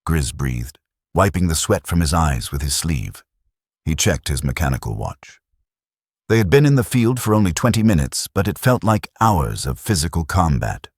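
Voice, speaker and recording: A man's voice: extremely low pitch, big pitch swings, moderate pace, husky, dry recording, studio quality. A man narrating a science fiction audiobook with dramatic storytelling delivery. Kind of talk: scripted sci-fi narration.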